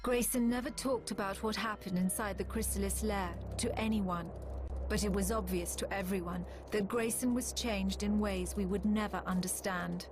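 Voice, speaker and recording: An actress playing a grave war narrator in a video game, solemn and measured, with music under her voice. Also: medium pitch, steady tone, natural voice. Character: grave woman recounting a war against aliens.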